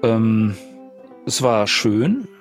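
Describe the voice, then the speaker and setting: A man's voice: on the low side, very animated, extremely slow, soft background music. A man chatting casually as a guest player on a role-play podcast. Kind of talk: casual chat.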